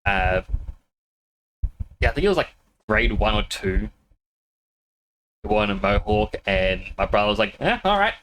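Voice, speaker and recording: A man's voice: on the low side, sing-song, a little slow, pauses often, a bit bright, a little hiss or hum, low-quality recording. A man streaming a video game, chatting casually with viewers, laughing often and a little sniffly with a cold. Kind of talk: casual live-stream chat.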